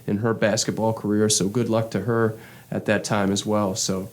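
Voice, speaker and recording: A man hosting a casual sports talk show, speaking in a relaxed, unhurried way with frequent "uh" and "um". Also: on the low side. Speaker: podcast host.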